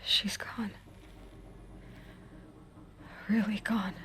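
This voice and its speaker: A woman's voice: mid-range voice, flat delivery, a little slow, a bit bright, husky. A guarded, determined young woman. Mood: grieving, defensive. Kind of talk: video game dialogue acting.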